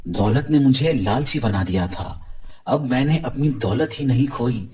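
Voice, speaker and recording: A man voicing a greedy milkman husband in an animated cartoon, grumbling and complaining. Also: medium pitch, unhurried, lightly acted.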